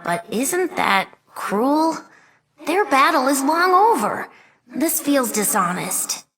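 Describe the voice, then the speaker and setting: A woman's voice: high-pitched, very animated, slow pace, a bit bright, heavily acted. A woman voicing an earnest, brainy young fox boy sidekick in a video game, with a youthful, articulate way of talking. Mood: troubled, self-doubting. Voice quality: youthful, boyish. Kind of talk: video game character voice lines.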